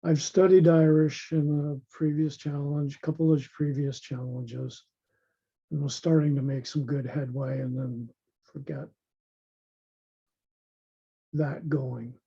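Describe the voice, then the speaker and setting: A man, worn out from illness, speaks in a slow, halting way with a raspy voice and many pauses and restarts. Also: on the high side, fairly even intonation, dark tone. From United States. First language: English. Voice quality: raspy, gravelly. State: worn out from illness, low energy. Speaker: language learner. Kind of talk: self-recorded video intro. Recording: dull lo-fi sound.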